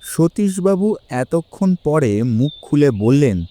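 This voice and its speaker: A man's voice: on the high side, lively intonation, measured pace, mellow. A man narrating a scripted audio story as its storyteller. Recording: long reverb, faint music behind.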